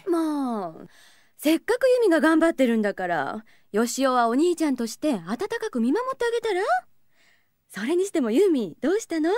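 A woman playing a kindly, amused mother of teenagers in an anime-style audio drama, motherly and gently chiding. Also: high-pitched, big pitch swings, normal speed, no room sound, clean studio sound. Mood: encouraging.

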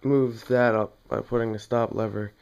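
A man giving a casual hands-on tutorial at home, talking plainly and haltingly as he works. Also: mid-range voice, fairly even intonation, darkish tone, smooth, muffled recording. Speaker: demonstrator in a home how-to video. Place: small dim room indoors at home.

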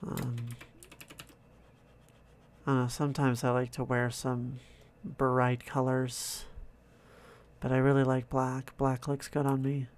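A man quietly narrating his own drawing process, in a soft-spoken, calm way, thinking out loud. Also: mid-range voice, slow, pauses often. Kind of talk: casual relaxed commentary over drawing.

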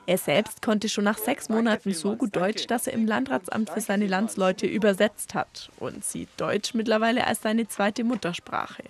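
A woman reporter reading a scripted news voice-over in a clear, measured way. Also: mid-range voice.

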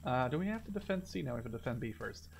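A man's voice: mid-range voice, big pitch swings, rapid-fire, creaky, slight background noise. A man giving casual, rambling gameplay commentary, informal and given to mild swearing. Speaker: gamer and video host.

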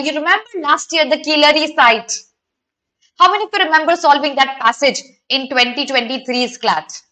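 A woman's voice: sits high, bright, forceful tone. A young woman with an Indian accent teaching a live online class, explaining things and checking in with her students. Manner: explanatory, addresses students with frequent questions. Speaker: exam-prep teacher.

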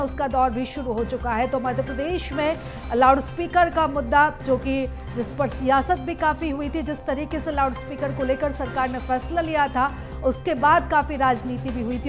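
A young woman anchoring live breaking news in a repetitive, emphatic way. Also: high-pitched. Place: TV news studio.

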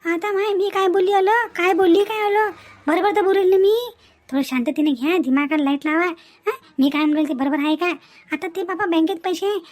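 High-pitched scheming young daughter-in-law acted by a woman. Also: fairly quick, darkish tone. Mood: scheming, insistent. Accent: Vidarbha Marathi. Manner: insistent, wheedling, punctuated with questioning grunts. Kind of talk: cartoon family drama dialogue.

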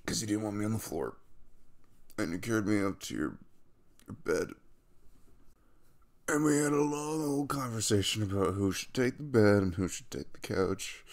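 Male actor as drowsy, tender young man for intimate audio roleplay: low, very lively intonation, hesitant and casual. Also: gravelly, exaggerated voice. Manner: hesitant, casual, chuckling mumble. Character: sleepy, caring young man waking in his crush's bed. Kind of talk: intimate ASMR boyfriend roleplay.

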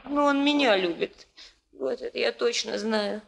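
High-pitched, fiery young village bride. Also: female voice, measured pace, gravelly, noisy background. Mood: agitated, pleading. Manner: emotional, colloquial, exclamatory outbursts. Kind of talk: Soviet 1960s radio play acting.

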